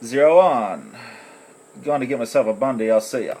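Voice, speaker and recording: A man's voice: medium pitch, animated, normal speed, crowd noise, low-quality recording. A man in casual, laid-back CB radio banter full of slang, recorded on a phone. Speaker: amateur CB operator filming on phone. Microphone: phone recording in the radio room.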